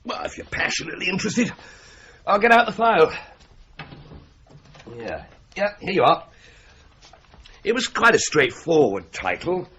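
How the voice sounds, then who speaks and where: An actor playing a genial solicitor with a jovial, ironic, rambling way of talking, in a radio drama. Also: male voice, sits high, very animated, normal speed, long pauses, pushed and bright, a little hiss or hum, heavily acted. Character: genial, wry male solicitor. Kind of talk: 1970s radio drama acting. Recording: slightly dull broadcast recording.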